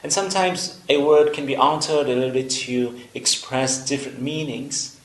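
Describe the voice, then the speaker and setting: A man from Vietnam with a Vietnamese accent, a native Vietnamese speaker, teaching an English lesson in a deliberate, explanatory way with pauses between phrases. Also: medium pitch, steady tone. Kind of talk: instructional video lesson. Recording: roomy, echoing room sound.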